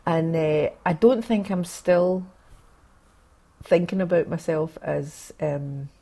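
A woman in her fifties speaks candidly in an interview. Her voice is low and husky, with a dark, mellow tone. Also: slow. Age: 50s. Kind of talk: candid personal reflection in an interview.

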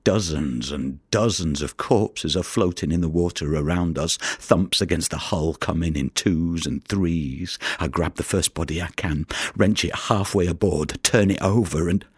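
Haunted fisherman, deep gravelly voice, slow halting delivery, intense audio-play monologue, close dry sound. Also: no echo. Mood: anguished. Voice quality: low, gravelly, breathy. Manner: intense, halting storytelling that drops toward whispers. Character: haunted fisherman recounting a rescue at sea.

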